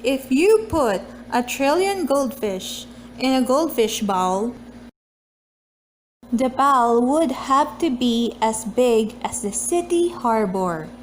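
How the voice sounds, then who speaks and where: A woman with a high-pitched voice reads a children's book aloud at a slow pace. Her delivery is deliberate and expressive, in the style of a homemade read-aloud video. There is noticeable noise behind her. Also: rough recording. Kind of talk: picture book read-aloud with casual chat.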